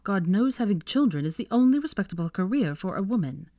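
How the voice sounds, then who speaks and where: A woman's voice: medium pitch, very animated, moderate pace, darkish tone, dry recording, studio quality, pitched above the performer's own voice. A woman voicing a sardonic, pointed young witch in a storybook reading. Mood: bitterly sarcastic. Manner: sarcastic, pointed. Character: sardonic, cold-tempered young witch, weary and affectionate.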